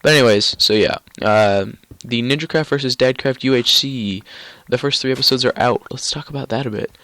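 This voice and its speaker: A man's voice: medium pitch, fairly quick, bright, forceful tone, dry recording. A young man with a stuffy, nasal cold, rambling casually through gameplay commentary with lots of ums and restarts. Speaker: gaming YouTuber.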